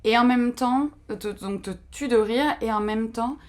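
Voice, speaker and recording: A woman's voice: on the high side, a little slow. A woman on a podcast panel, chatting casually and animatedly with many fillers as she recommends a series.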